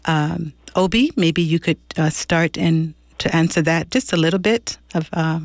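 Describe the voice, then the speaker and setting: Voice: medium pitch, measured pace, no room sound. Radio host in a dry studio, chatty. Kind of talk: radio interview hosting.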